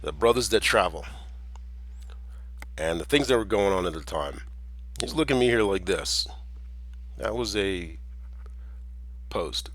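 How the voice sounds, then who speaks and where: A man's voice: low-pitched, lively intonation, measured pace, long pauses, a bit bright. A man with an American accent carrying a slight Jamaican tinge, talking in a rambling personal monologue full of restarts and chuckles. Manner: meandering, with many restarts and chuckles.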